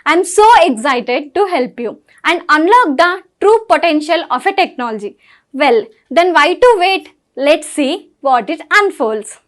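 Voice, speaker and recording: A woman's voice: sits high, lively intonation, measured pace. A woman presenter delivering a scripted promotional introduction for a training video.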